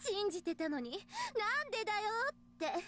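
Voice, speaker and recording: High-voiced gloating, cruel young mastermind. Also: female voice, very animated, racing, music in the background. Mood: gloating, amused. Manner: breathy taunting purr, gloating and drawn out. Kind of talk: Japanese visual novel voice acting.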